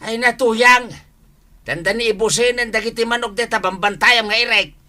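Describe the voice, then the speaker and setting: Comedy village husband, male actor: excitable nagger, piercingly bright, tense delivery. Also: extremely high pitch, normal speed, heavily acted. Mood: agitated scolding. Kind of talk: comedy drama dialogue.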